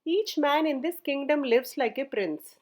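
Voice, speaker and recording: A woman's voice: high-pitched, animated, unhurried, darkish tone. A woman voicing an admiring visiting merchant in a storybook tale. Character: admiring foreign merchant praising a king.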